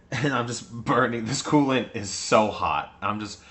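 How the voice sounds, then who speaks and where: A man's voice: medium pitch, a little slow, a bit bright. A man co-hosting a podcast in casual chat, telling stories in a chatty way with frequent laughs and casual swearing. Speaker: podcast co-host.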